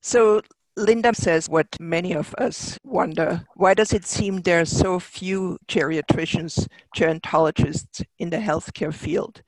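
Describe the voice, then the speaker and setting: A man interviewing a guest in a measured way and reading out listener questions. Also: high-pitched, no room sound, low-quality recording.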